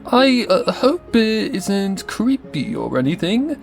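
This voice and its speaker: A man playing a nervous, gentle, earnest painter stallion in an audio drama, with halting delivery. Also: high-pitched, sing-song, creaky, heavily acted. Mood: shy, sincere. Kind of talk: audio drama character acting. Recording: music behind the voice.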